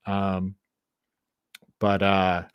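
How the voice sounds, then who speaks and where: Low-voiced man, dry close sound. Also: completely flat delivery, extremely slow, clear, resonant tone, dry recording. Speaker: podcast host. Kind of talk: casual film review discussion.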